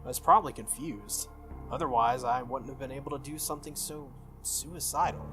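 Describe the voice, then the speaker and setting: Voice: mid-range voice, fairly quick, a bit bright, soft background music, close to an everyday voice. A game player voicing an earnest, stubborn, easily flustered teenage boy from a visual novel, hesitant and defensive.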